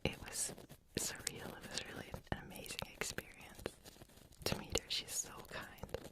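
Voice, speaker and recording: A young woman whispering softly as she hosts an ASMR livestream, chatting with viewers while making trigger sounds. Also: moderate pace.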